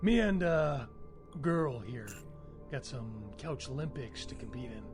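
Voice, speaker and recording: A man's voice: mid-range voice, lively intonation, measured pace, loud music behind the voice, close to an everyday voice. An actor playing a casual, evasive young guy in a video game, talking in a breezy, offhand way. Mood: breezy, dismissive.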